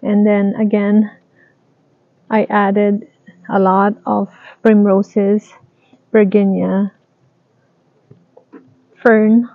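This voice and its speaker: Slow halting woman showing off her garden, gravelly voice, tinny dry phone-like sound. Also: medium pitch, no echo. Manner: slow, halting, plain descriptive talk. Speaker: home gardener giving a tour. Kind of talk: casual garden tour narration.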